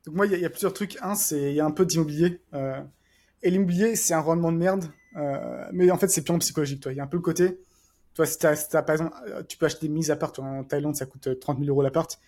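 Fast-talking husky man. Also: on the high side, fairly even intonation, a little mellow. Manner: rapid, rambling, many fillers and restarts. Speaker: podcast co-host. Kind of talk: casual chat.

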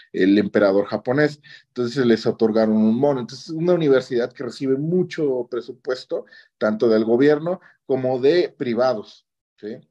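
The recording is slightly dull and lo-fi. A man with a Mexican accent speaks at an average pitch, recounting his experiences in a conversational way. He often closes his phrases with a short questioning tag. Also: dark tone. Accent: Mexican Spanish. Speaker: interview guest, orthopedic surgeon. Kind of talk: conversational personal storytelling. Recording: dull lo-fi audio.

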